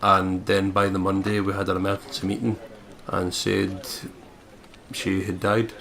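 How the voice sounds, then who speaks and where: A man's voice: sits low, monotone, measured pace, vocal fry, a bit bright, a little hiss or hum. A man with a Scottish accent, doing casual gaming commentary and reading out game narration. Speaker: let's play commentator.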